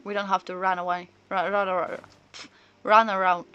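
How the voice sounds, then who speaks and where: A woman's voice: lowish voice, little pitch movement, moderate pace, pushed and bright, clear, resonant tone, dry recording, lo-fi sound. Woman streamer chatting, close dry sound. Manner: rambling, thinking aloud. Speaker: video game livestreamer. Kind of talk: casual chat and reactions while playing.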